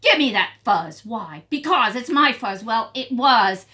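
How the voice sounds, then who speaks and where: Woman voicing high, shouty little prairie dogs. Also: animated, measured pace. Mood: squabbling. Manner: shouting, exclamatory. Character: crowd of excitable squabbling little prairie dogs.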